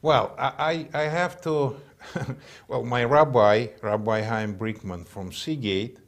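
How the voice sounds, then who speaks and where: A man in his fifties from Russia with a Russian accent, a guest in an interview, talking haltingly with many fillers and restarts. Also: mid-range voice. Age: 50s. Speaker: state assemblyman, guest. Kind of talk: casual interview conversation.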